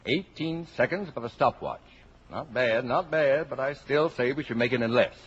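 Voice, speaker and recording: A man's voice: medium pitch, expressive, normal speed, slightly rough. An actor playing a middle-aged, jovial, exacting father of a huge brood in theatrical, clipped 1950s radio drama style. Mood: brisk, exacting. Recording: dull old radio recording, crowd, faint noise.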